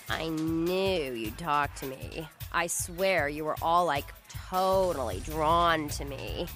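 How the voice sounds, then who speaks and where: An actress playing a young, name-dropping party-scene hanger-on in a video game, ditzy, slangy and over-familiar. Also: female voice, low-pitched, slow pace, a bit bright, soft background music. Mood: gushing. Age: young adult. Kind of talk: video game character acting.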